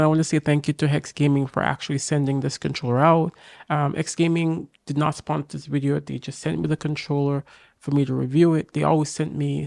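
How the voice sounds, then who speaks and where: A young man with a raspy voice from a cold, casually and eagerly reviewing a game controller to camera in a small home studio. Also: fairly high pitch, a little mellow, no room sound. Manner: enthusiastic, talks straight to camera, many fillers. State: getting over a cold. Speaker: tech reviewer. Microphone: boom mic on arm.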